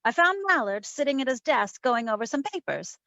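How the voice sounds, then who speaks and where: A woman playing a sassy, quick-witted private detective, narrating her case in old-time radio drama style. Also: on the high side, sing-song, bright, forceful tone, no echo, exaggerated voice. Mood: brisk, wry. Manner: wry, wisecracking.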